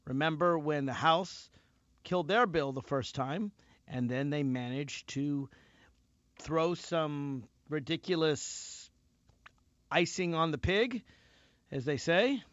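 Man hosting talk radio, wry and rambling, a little high, dry and dull sound. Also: slow, pauses often, fairly bright tone, dry recording. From Tennessee. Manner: wry, rambling, self-deprecating. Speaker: radio host. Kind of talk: casual talk radio commentary.

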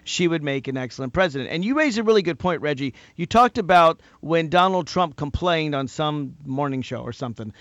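A male radio talk show host with a loud voice, arguing politics in an animated, emphatic way, often repeating himself and laughing. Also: fairly high pitch, fairly quick, few pauses, no echo, muffled recording.

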